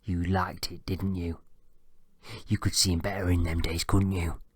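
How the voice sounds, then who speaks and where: A man voicing a crude, abusive, bedridden old father, gruff and demanding. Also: low-pitched, little pitch movement, normal speed, dry recording, clean studio sound. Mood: mocking. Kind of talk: audiobook character voice.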